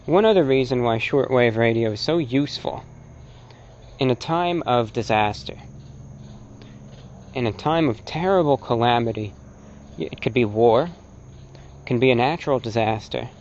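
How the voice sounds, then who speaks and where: A man's voice: medium pitch, a little mellow, slight background noise. Man giving an opinionated lecture, slightly muffled. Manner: emphatic, deliberate, rhetorical phrasing with repetition. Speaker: host and presenter, radio broadcaster. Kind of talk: opinionated informal lecture on radio.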